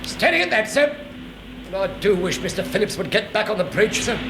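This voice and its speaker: An actor playing a deferential but dryly sardonic naval chief petty officer in a radio sitcom, with an echoey sound. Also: male voice, high voice, sing-song, brisk, vocal fry, music in the background, heavily acted. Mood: dry, exasperated. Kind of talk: British radio sitcom acting.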